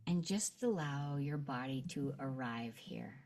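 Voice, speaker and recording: Slow, low-voiced woman leading gentle meditation. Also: expressive. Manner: gentle, unhurried, with long pauses. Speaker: guided meditation leader. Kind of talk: slow guided meditation and visualization.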